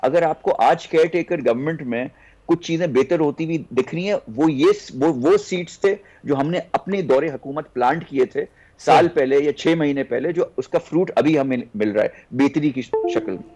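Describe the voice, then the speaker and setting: A man's voice: on the high side, normal speed, darkish tone. Pakistani man explaining politics. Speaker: political guest on a news talk show. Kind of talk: political discussion, explaining policy.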